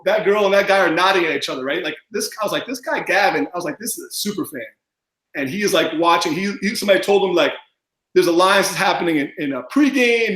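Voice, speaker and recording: A man chatting as a podcast guest, mumbling and talking fast with lots of fillers. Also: high-pitched, fairly bright tone, reverberant, low-quality recording. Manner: rambling with many fillers. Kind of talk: casual podcast chat.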